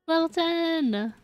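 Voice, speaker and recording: A woman's voice: extremely high pitch, no room sound. A woman chatting casually and playfully on a gaming livestream, giggly and given to exclamations. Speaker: game live streamer.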